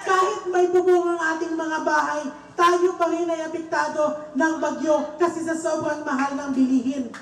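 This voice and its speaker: A woman's voice: high voice, fairly even intonation, normal speed, few pauses, reverberant. A young woman with a Filipino accent giving an impassioned protest speech as a student speaker.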